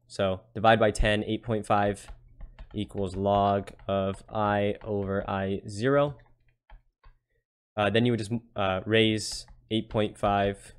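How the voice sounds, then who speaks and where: A man giving a casual tutorial as a tutor, explaining step by step and often checking in with "right?". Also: on the low side. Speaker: physics tutor. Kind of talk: casual worked-problem tutorial.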